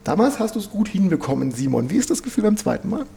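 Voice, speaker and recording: Very gravelly, dark-voiced chatty male host. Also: high voice, big pitch swings, quick pace. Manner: chatty, prompting with short affirmations. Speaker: podcast host. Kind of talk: casual interview chat.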